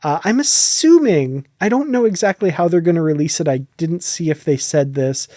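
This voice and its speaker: A man's voice: a little high, expressive, moderate pace, mellow, dry recording, clean studio sound. A man hosting a podcast in a casual, chatty, enthusiastic way. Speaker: podcast host.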